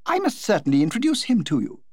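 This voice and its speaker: Man putting on a society lady, forty, high gushing voice, lively swoops, dry sound. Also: fast talker, breathy edge, no echo, full character voice. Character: vivacious society hostess, woman of forty.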